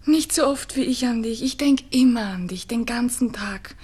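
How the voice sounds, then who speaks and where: A devoted young Viennese girl in love. Her voice sits on the high side, soft and sincere, with a wistful tenderness typical of sixties radio drama. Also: normal speed, slightly rough. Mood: devoted, yearning. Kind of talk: 1960s German radio play acting. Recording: 1960s radio studio recording.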